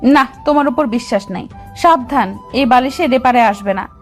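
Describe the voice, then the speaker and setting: Woman acting a high-voiced, bossy young sweetheart. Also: normal speed, soft background music, lightly acted. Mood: playfully bossy. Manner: scolding, commanding, coy. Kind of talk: Bengali romantic audio story acting.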